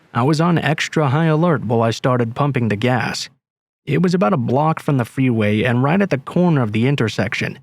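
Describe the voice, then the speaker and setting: A man reading a true horror story aloud in steady, measured scripted narration. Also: medium pitch. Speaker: narrator reading submitted stories. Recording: faint music underneath.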